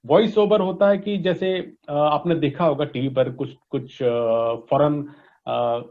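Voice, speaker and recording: A man's voice: on the high side, steady tone, slow. A man with an Indian accent giving explanatory interview answers, mixing in English and checking in like a teacher. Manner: didactic, frequent check-in tags. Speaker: interview guest, voice industry expert. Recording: dull, lo-fi audio.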